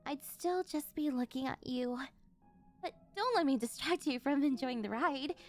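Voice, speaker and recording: A woman playing a rich, doting and obsessive older yandere girlfriend in an ASMR roleplay, cooing and over-eager as she talks to the listener. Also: high-pitched. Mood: romantic, cooing. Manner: gushing, cooing, over-eager, flustered denials.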